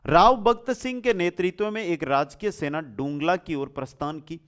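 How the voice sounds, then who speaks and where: A man's voice: on the high side, normal speed, fairly bright tone, smooth. A man teaching an exam lesson in question-and-answer form, repeating answers and addressing students familiarly with rhetorical questions.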